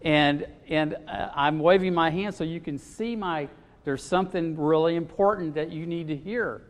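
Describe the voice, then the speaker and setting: A man preaching a sermon in a loud, expressive way, often pausing with fillers. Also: on the high side. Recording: long hall reverb.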